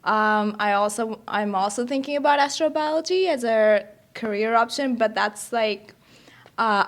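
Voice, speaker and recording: Casting: female student in her youth, Sri Lankan accent, average pitch, informal interview answers. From Colombo, Sri Lanka. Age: young adult. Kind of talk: casual interview conversation.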